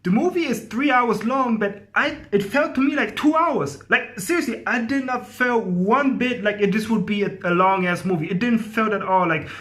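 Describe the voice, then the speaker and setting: Male reviewer, high-pitched voice, informal and enthusiastic, talks like a fan and repeats himself for emphasis. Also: normal speed, hardly any pauses, a bit bright. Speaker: video movie reviewer. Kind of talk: casual excited movie review.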